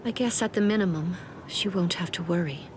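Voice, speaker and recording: An actress playing a composed, reflective woman officer in an anime game, speaking in a gentle, measured, formal way. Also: fairly low pitch, noisy background, natural voice. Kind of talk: scripted anime game dialogue.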